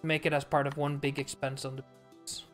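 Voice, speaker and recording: A man from Norway with a light, soft voice, chatting casually on a stream over a voice call, relaxed and often trailing off quietly. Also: fairly high pitch, normal speed, faint music behind.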